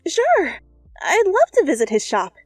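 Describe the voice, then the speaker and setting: A woman's voice: very high voice, huge pitch swings, a little slow, dry recording, clean studio sound, soft background music, voice pushed up, full character voice. A woman voicing a shy, polite young baker who speaks cautiously in a fan fiction reading. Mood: chirpy. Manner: hesitant, cautious.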